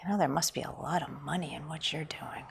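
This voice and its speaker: A woman's voice: sits low, fast, creaky, slight background noise. A woman playing a needling, sardonic old friend in a drama table read, with a pointed delivery. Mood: sarcastic. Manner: pointed, sarcastic.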